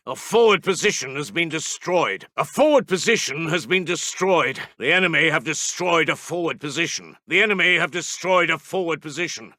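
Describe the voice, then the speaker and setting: A man's voice: fairly high pitch, pushed and bright, no echo, studio quality. A man playing an urgent British Second World War intelligence officer for a video game, giving clipped, emphatic military reports. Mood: grave, dismayed. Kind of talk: video game voice lines, wartime battle reports.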